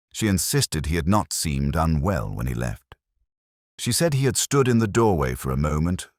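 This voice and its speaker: A man reading a scripted first-person detective story in a solemn, measured, literary way. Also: rumbling low, no room sound, studio quality. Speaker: narrator.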